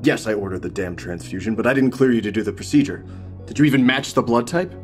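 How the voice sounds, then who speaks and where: A man playing a stern, exasperated hospital doctor in a horror audio drama, with a commanding, sharp manner. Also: medium pitch, lively intonation, fast, faint music behind, close to an everyday voice. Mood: irritated, accusing.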